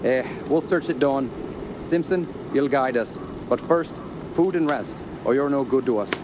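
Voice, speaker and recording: Radio play doctor, male actor: older search leader, steady authority, medium pitch, outdoor sounds behind him. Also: natural voice. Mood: firm, commanding. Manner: brisk, authoritative, fatherly. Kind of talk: radio drama acting. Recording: outdoor ambience noise behind voice.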